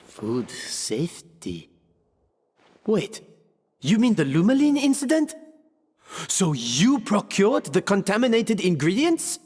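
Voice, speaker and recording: A man playing an earnest, inquisitive young gourmet in a game, expressive and questioning. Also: high voice, takes it slowly, pauses often, slightly rough, exaggerated voice. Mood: surprised. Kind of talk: English game voice acting. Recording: long reverb over background music.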